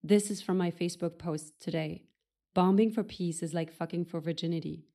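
Dark, lowish female voice reading aloud. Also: steady tone, normal speed.

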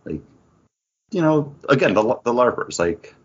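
A man's voice: mid-range voice, very animated, measured pace, husky, highs cut off. A man chatting casually as a podcast co-host, explaining his points in short, broken phrases. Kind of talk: casual chat about theme park attractions.

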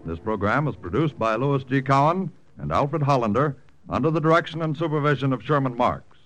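A man announcing the closing credits of a 1940s radio program in a crisp, formal way. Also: mid-range voice, animated, brisk, fairly bright tone, poor audio. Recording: old radio broadcast recording.

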